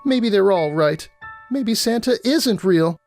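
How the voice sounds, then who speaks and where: A man's voice: high voice, expressive, takes it slowly, darkish tone, faint music behind, pitched above the performer's own voice, exaggerated voice. A man voicing a childlike, wistful teenage girl with an earnest, wavering manner in a story reading. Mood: dejected.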